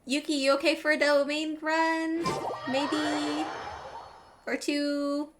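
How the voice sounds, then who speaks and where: A woman's voice: high-pitched, flat delivery, takes it slowly. A woman doing casual live gameplay commentary, chatting to herself and her viewers as she plays. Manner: chatty, talks to herself and viewers while playing. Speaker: game streamer. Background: game music.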